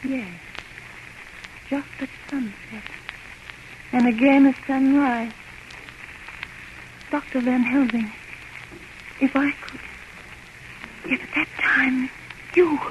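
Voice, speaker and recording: An actress playing a haunted, entranced Victorian wife, speaking in a dreamy, measured way in old radio drama style. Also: female voice, high voice, steady tone. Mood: dazed, troubled. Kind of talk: 1930s radio drama acting. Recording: noisy, muffled vintage radio recording.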